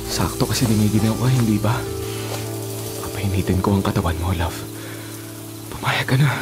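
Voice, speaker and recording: Lowish, coaxing male lover played by an actor. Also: moderate pace, natural voice. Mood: amorous. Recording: music behind the voice. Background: music.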